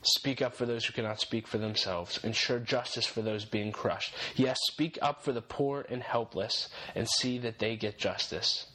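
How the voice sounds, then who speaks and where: A man's voice: medium pitch, fairly even intonation, moderate pace, few pauses, bright, forceful tone, rough recording. Earnest young man giving faith-based encouragement. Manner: earnest, encouraging, repeats key phrases for emphasis. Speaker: podcast host.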